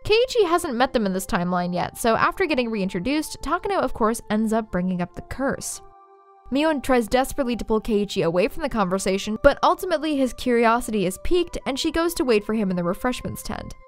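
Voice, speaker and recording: A young woman narrates a story recap as a video essayist. Her voice sits a bit high and her delivery is sardonic and informal, over quiet background music. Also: sing-song, fast. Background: faint music.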